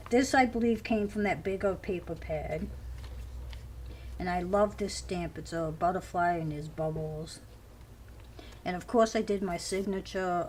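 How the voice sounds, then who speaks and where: A woman with a scratchy voice, chatting casually as she shows off each page of a handmade journal at home. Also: on the low side. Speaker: crafter showing a handmade journal. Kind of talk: casual show-and-tell.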